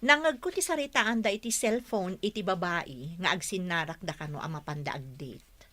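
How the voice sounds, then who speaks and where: A woman's voice: fairly low pitch, normal speed, fairly bright tone. A woman from the Philippines voicing an upset young wife and mother in an audio drama. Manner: upset, emotional.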